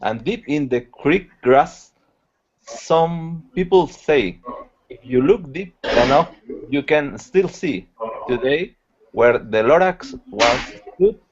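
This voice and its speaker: A man from Chile, a student, reading aloud slowly and haltingly on a video call. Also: on the high side, lively intonation, breathy edge. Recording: lo-fi call with background noise.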